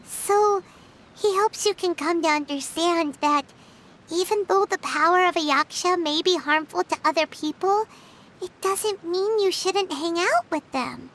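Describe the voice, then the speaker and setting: Squeaky, very high childlike fairy companion. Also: female voice, measured pace, fairly bright tone. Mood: earnest, encouraging. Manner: earnest, childlike, refers to self in third person. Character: tiny childlike female fairy companion, earnest and chirpy. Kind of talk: video game voice acting.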